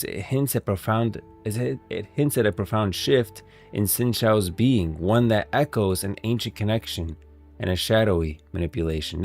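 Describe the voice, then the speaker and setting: A man's voice: deep voice, gravelly. A man hosting a casual livestream about game lore, talking informally and directly to his viewers. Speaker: livestream host.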